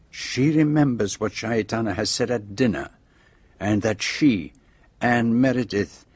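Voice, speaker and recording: A shrewd foreign sleuth in a radio mystery, speaking with a French-speaking accent in a close, dry sound. His voice is slightly husky, and he sets out each point precisely, with theatrical self-satisfaction. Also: fairly low pitch, no echo. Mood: hushed storytelling. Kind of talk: radio drama acting.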